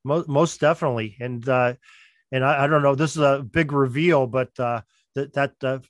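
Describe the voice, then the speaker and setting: A man's voice: mid-range voice, fairly even intonation, normal speed, no room sound, clean studio sound. A man talking casually as a producer being interviewed on a podcast.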